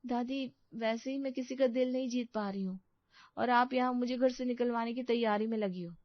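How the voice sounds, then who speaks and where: A woman's voice: a little high, normal speed, no room sound, lo-fi sound, pitched above the performer's own voice, close to an everyday voice. A woman voicing an anxious, eager-to-please young daughter-in-law in a story, earnest and plaintive.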